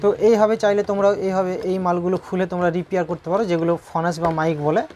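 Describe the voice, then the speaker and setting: A woman's voice: on the low side, steady tone, racing, warm tone, slight background noise. A woman giving an informal hands-on repair tutorial, repeating points and often checking in with viewers. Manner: repetitive, checking in with viewers with tag questions.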